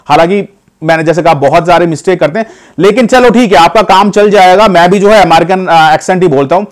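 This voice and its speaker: High-voiced Indian man vlogging. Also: fairly quick. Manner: chatty, addresses viewers as "guys", many fillers and restarts. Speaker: vlogger giving advice. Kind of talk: casual talk to camera.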